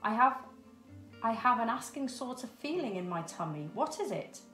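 An inquisitive little boy penguin from a storybook, acted out by a woman reader, pitched high with a pleading edge. The room rings around the voice. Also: sing-song, moderate pace, sharp tone, roomy sound, faint music behind. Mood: puzzled. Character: Otto, curious young male penguin chick.

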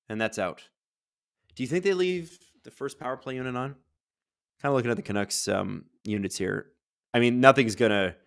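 Chatty man with a booming voice. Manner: chatty, jumps into excited play-by-play. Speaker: livestream host. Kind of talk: casual live watch-along chat. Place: studio.

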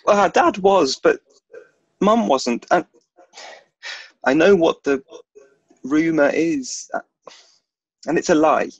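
A man's voice: on the high side, lively intonation, takes it slowly, pauses often, breathy edge, dry recording, exaggerated voice. A young British man playing a nervous, chatty, self-deprecating schoolboy wizard in a play reading, with a hesitant, stammering delivery. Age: young adult. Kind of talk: theatrical table read. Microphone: video call. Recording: dull video-call audio.

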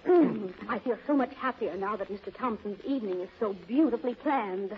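A coy, excitable young secretary played by an actress in a 1930s radio play. Her voice is high and girlish. The old recording is muffled and lo-fi. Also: brisk, slight background noise, lightly acted. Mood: excited, girlish.

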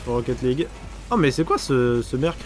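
A man's voice: mid-range voice, moderate pace, faint music behind. A young man, teasing and joking while streaming a game at home on a desk microphone. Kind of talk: casual gaming commentary. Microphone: desk microphone with headset.

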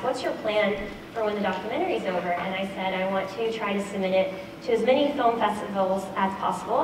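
Twenty-something woman on a big stage, talking straight and earnest with lots of ums, super echoey hall, kinda far from the mic, hiss in the room. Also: medium pitch. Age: 20s. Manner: earnest, candid, with frequent ums and restarts. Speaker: speaker. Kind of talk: conference talk. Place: large dark auditorium stage. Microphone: headset mic.

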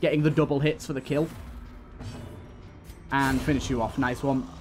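A man's voice: mid-range voice, steady tone, moderate pace, a little mellow, faint music behind. A man giving casual gameplay commentary, thinking aloud and talking to the game's characters. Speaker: gaming video host.

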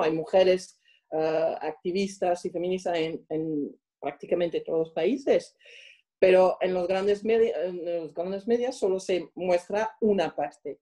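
French woman w accent being interviewed, soft dark mellow tone, kinda low, stops and starts looking for words. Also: poor audio. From France. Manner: halting, searching for words. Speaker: interviewed guest. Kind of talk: reflective interview answers.

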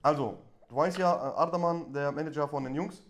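A man's voice: a little high, brisk. A man livestreaming in casual chat, his street slang sprinkled with Arabic and Turkish words. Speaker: live streamer and prank caller.

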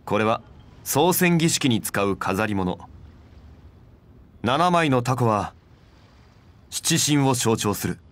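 A man playing a composed, scholarly gentleman in an anime game, speaking formally and with measured dignity. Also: medium pitch, animated. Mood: composed, admiring. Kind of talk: anime game character acting.

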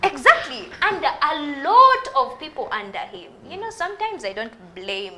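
Animated young Ugandan woman, bright voice. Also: sits high, normal speed, a little hiss or hum. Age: young adult. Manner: animated, gestures while arguing. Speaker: studio guest, poet. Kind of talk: TV studio panel discussion. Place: TV studio. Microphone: lapel mic.

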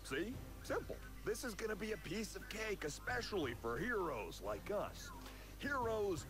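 A cocky cartoon balloon pilot, all boastful swagger and not much brain. His pitch leaps and dives wildly as he brags and flirts, with prominent game music playing. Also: big pitch swings, a little slow, full character voice. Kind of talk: cartoon video game acting.

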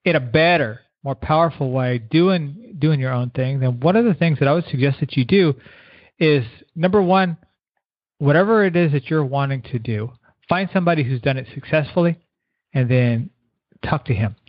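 A middle-aged man, a keynote speaker guest giving earnest motivational advice, repeating words and slowing down to stress his points. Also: fairly high pitch, no echo. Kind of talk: motivational interview answers. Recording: dull lo-fi radio sound.

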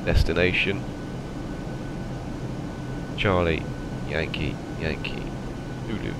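Low raspy man with a cold. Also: slow, very noisy. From England. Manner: relaxed, chatty, many ums and okays. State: has a cold, slightly raspy. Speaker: flight simulator player. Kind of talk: casual narrated cockpit walkthrough.

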